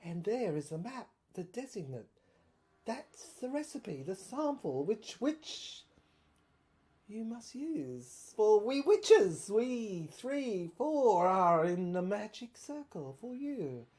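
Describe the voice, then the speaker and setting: A woman playing an obsessive, haunted inventor shut away by soldiers in an audio drama monologue, speaking in a halting, rambling, theatrical way with repeated words. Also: mid-range voice, big pitch swings, mellow, breathy edge, heavily acted. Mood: impish, exalted.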